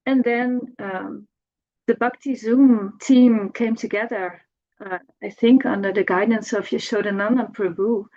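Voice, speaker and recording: A middle-aged woman shares a personal remembrance in an informal way. Her voice sits at an ordinary pitch for a woman, and she speaks haltingly, searching for words and repeating phrases. The audio is dull and lo-fi. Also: steady tone, dark tone. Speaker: devotee giving a personal homage.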